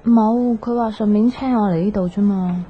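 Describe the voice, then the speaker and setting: A woman's voice: mid-range voice, normal speed, slightly rough, natural voice. An actress with a Hong Kong Cantonese accent playing a mild, puzzled young girlfriend in a radio drama. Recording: dull, noisy radio drama recording.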